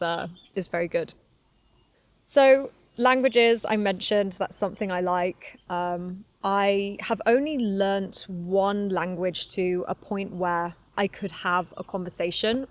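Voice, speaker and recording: A woman in her twenties from Cornwall with a Southern English accent, a touch of London, chatting candidly as an English teacher on a podcast for learners, with frequent laughs and fillers. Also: mid-range voice. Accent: Southern English, slightly London. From Cornwall, England. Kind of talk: relaxed solo podcast monologue for learners.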